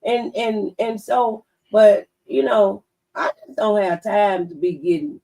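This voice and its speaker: A woman's voice: medium pitch, fairly even intonation, takes it slowly, creaky, warm tone, far from the mic, low-quality recording. A woman hosting a radio talk show, speaking emphatically as if giving testimony. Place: radio studio.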